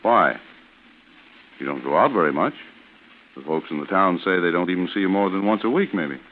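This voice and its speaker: A deep male voice on a thin, faintly noisy old radio recording. a small-town official asking polite, probing questions in a hesitant, matter-of-fact way, as in a vintage suspense show. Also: expressive, brisk, narrow, tinny audio. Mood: apologetic, polite.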